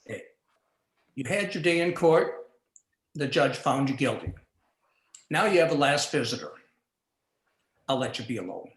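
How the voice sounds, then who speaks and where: A man's voice: mid-range voice, lively intonation, a little slow, long pauses, lightly acted. A man playing a crooked police chief in a noir radio play, with a clipped, businesslike manner. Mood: curt. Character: crooked police chief, curt and smooth-talking schemer. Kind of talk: 1940s noir radio acting, live community theatre. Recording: roomy, echoing live stage recording.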